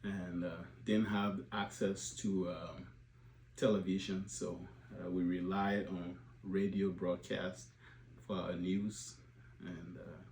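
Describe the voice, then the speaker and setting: A deep-voiced man in his forties speaks slowly and haltingly to the supporters of his organization, full of filler sounds and restarts. The recording is roomy, with a faint hum behind him. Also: flat delivery, darkish tone. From Liberia. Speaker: organization leader addressing supporters. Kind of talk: unscripted video address.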